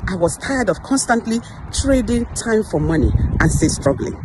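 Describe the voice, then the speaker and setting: A woman's voice: medium pitch, expressive, normal speed, noisy background. An older woman from Nigeria, a retired midwife, giving an earnest promotional testimonial.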